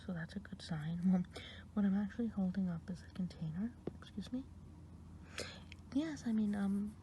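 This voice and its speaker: A woman voicing a kind good witch of the woods, whispering softly and slowly in an ASMR roleplay. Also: lowish voice, slight background noise, poor audio. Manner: soft unvoiced whisper, slow and meandering.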